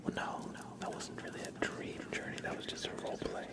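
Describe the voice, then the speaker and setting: An ASMR streamer chatting casually in a soft, rambling whisper during a livestream. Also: fast.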